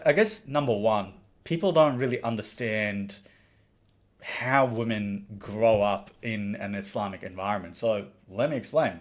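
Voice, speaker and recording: A man from the Maldives hosts a livestream in a mid-pitched voice. He talks in an earnest, opinionated way, stops to read viewer comments aloud, and often restarts his sentences. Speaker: livestream host.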